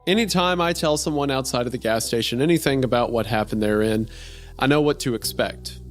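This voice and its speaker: A man's voice: medium pitch, fairly quick. A man narrating a strange first-person horror-comedy story in a wry, conversational way. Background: faint music.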